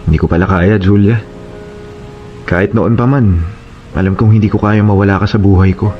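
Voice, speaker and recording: A fairly low voice of a devoted, remorseful family man played by an actor in a radio drama. He speaks earnestly and tenderly. The audio is slightly muffled over faint music. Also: steady tone, fairly quick, lightly acted. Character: devoted, remorseful father and suitor. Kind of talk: Tagalog radio drama acting.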